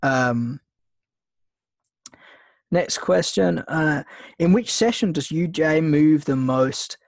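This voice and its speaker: A man's voice: on the high side, takes it slowly, vocal fry, no echo. A man with a slight cold, casually teaching a trading webinar, rambling with lots of ums and restarts. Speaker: trading mentor hosting a webinar. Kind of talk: casual screen-share teaching.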